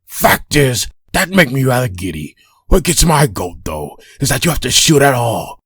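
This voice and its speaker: Man doing a gravelly, cantankerous old ranter. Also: medium pitch, big pitch swings, normal speed, a bit bright, no echo, studio quality, full character voice. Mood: scornful, gleeful. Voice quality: gravelly, raspy. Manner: scornful, crotchety. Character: cantankerous, bloodthirsty old man ranting at youths. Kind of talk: comic dub acting.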